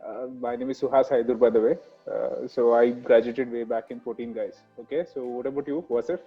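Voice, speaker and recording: A man's voice: medium pitch, steady tone, fairly quick, soft background music. A man from Karnataka in a student group who speaks hesitantly with many fillers. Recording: dull lo-fi audio.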